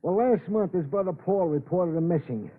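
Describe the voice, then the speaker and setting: A man's voice: high-pitched, expressive, moderate pace, slightly rough. An actor playing an earnest, deferential police records man, polite and eager as he reports in a vintage radio crime drama. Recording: narrow-band old radio broadcast.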